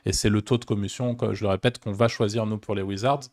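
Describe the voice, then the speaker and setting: A French man with a low voice co-hosts a podcast and explains ideas in a relaxed, halting way. He fills his talk with hesitations and restarts. The recording is dry and close, with no room sound. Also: no room sound. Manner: hesitant, many fillers and restarts. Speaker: podcast co-host. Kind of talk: casual podcast discussion.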